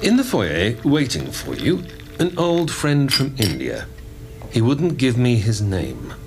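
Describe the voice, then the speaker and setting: Slow, deferential manservant over music. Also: male voice, medium pitch, wildly swooping pitch, vocal fry, exaggerated voice. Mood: deferential, discreet. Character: deferential manservant announcing a visitor to his master. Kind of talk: audio drama acting.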